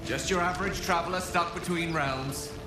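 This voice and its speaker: An actor voicing a flustered, affable trapped traveler in a video game, urgent, wry and theatrical. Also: male voice, on the high side, normal speed, roomy sound, music in the background, close to an everyday voice. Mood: hopeful, urgent.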